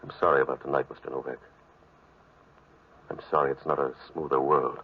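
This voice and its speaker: A sorrowful, gentle priest with an extremely deep, husky voice and very flat delivery. He is resigned and philosophical, on a thin vintage radio recording. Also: fairly quick, muffled recording. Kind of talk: 1940s radio drama acting.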